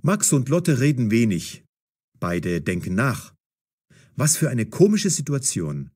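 A man reads a scripted story with measured, careful diction. His mid-range voice moves animatedly and has a slightly rough, husky edge. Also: medium pitch, sing-song, a little mellow. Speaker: audio story narrator. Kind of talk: scripted narration of a language-learner story.

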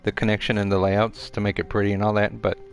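A young man hosting a casual live game stream over a headset, reading viewer comments aloud and answering them in an easygoing, repetitive way. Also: quick pace. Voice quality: deep voice. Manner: relaxed, chatty, repeats phrases, answers chat as he plays. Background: game music.

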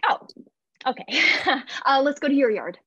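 A woman playing an eager, awkward, apologetic new mother, gushing and halting, in a comedic play reading with a husky voice. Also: high-pitched, animated, fairly bright tone, no echo. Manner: nervous, gushing, halting self-corrections. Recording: muffled video call audio.

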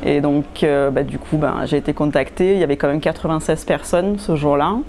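This woman in her forties speaks in a somewhat low voice. She talks in a lively way but often stops to hesitate. A lapel mic records her in a greenhouse, with faint noise behind her. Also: a little fast. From England, West Yorkshire. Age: 40s. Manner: lively, hesitant, with many fillers. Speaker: fashion designer and knitter. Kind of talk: casual interview answers. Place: glass greenhouse, indoors.

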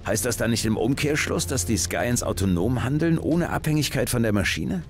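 A man voicing a gruff, laconic commander and steady hero in a sci-fi video game. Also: mid-range voice, normal speed, faint music behind, natural voice. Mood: businesslike. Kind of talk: video game dialogue acting.

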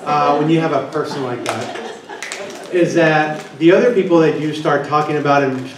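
A man's voice: on the high side, moderate pace, a little hiss or hum. Joking male presenter in an echoey conference room. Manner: chatty, joking with the audience. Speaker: workshop presenter. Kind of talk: interactive seminar talk. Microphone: handheld mic, far camera. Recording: echoey room, distant camera.

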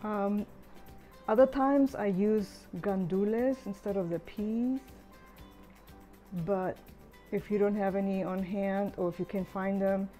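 Relaxed woman explaining a recipe. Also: medium pitch. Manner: relaxed, chatty step-by-step explaining. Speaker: home cook. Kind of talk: cooking tutorial voice-over.

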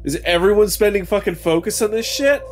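A man's voice: high voice, expressive, fairly quick, slight background noise. A man chatting casually as a player in a tabletop role-playing game.

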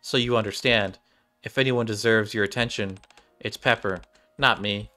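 A man's voice: fairly low pitch, fairly even intonation, normal speed, bright, forceful tone. A man in his thirties streaming a game and reading its dialogue aloud in a room at home. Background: game music, keyboard typing.

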